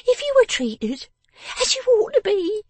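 Shrill, bossy little scold. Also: female voice, very animated, measured pace, a little mellow, heavily acted. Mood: snapping, scolding. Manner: emphatic, snapping, imperious. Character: sharp-tongued, bossy, vengeful young woman, scolding like a shrew. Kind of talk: dramatised storybook reading.

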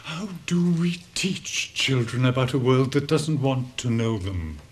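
A man's voice: mid-range voice, expressive, measured pace, slight background noise, lightly acted. An older man playing a despairing, idealistic headmaster near retirement in a British radio drama, earnest and mournful in an oratorical way. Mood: bitterly oratorical.

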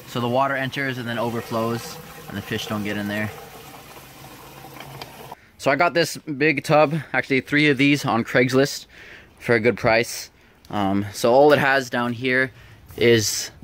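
A man's voice: medium pitch, fairly bright tone. A man giving a casual hands-on tutorial in an informal, plain-spoken way, explaining step by step. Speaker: presenter of DIY how-to videos. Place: around a backyard tub and a workshop. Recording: handheld camera with background noise.

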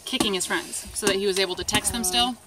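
A woman's voice: medium pitch, quick pace, over a noisy crowd, low-quality recording. An adult woman, a mother, chatting candidly at an outdoor campsite. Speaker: parent. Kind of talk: casual conversation.

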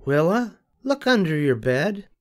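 Storybook figure: older man doing a kind grown rabbit, soft, lilting, unhurried. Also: fairly high pitch, big pitch swings, voice pushed up. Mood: soothing. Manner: soft, soothing bedtime tone. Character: gentle grown male rabbit, patient and kind.

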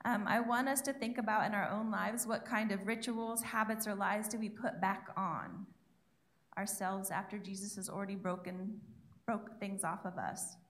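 A woman's voice: medium pitch, steady tone, moderate pace, a bit bright. A young woman giving a sermon from her notes on a church stage, chatty and self-deprecating, speaking into a handheld mic. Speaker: guest preacher. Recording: echoey church room.

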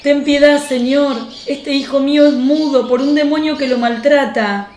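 A woman's voice: high-pitched, steady tone, moderate pace, a little mellow, roomy sound, close to an everyday voice. An actress playing a distressed mother who implores on behalf of her son in a religious radio drama. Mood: desperate pleading.

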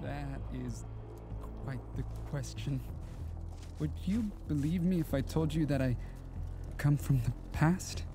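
An actor playing an eerie, courteous, melancholy stranger from the past in an audio drama, speaking haltingly with old-fashioned politeness. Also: male voice, a little high, over music. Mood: inviting, enigmatic.

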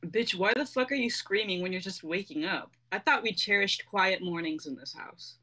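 A woman in her twenties plays a sassy female cat in human form for a script reading. She is flippant and sardonic, and her voice is bright and sharp at a middling pitch. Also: normal speed, poor audio. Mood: sassy, irritated. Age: 20s. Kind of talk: amateur dramatic script reading. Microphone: close mic on a video call.

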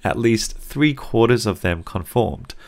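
A young man from Singapore, speaking with an Australian accent, narrating a scripted psychology lesson in a clear, conversational and lightly wry way. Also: lowish voice, expressive, a little slow. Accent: Australian English, learned as an adult. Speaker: psychology teacher.

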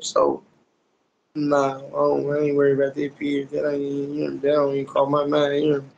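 A young American man with a very gravelly, crackling voice that sits a bit high. He talks in casual, slang-heavy street talk on a phone video call, repeating phrases emphatically and tacking questions onto his sentences. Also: monotone, fairly quick, a little mellow, muffled recording. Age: young adult. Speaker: rapper being interviewed. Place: small indoor room, on a video call. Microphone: phone at arm's length on video call.

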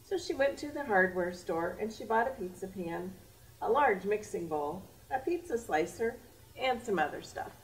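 A woman's voice: medium pitch, lively intonation, normal speed. A middle-aged American woman hosting a children's storytime, reading expressively in simple, theatrical phrases. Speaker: library storytime host. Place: library children's area, indoors. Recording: distant camera mic, faint room noise.

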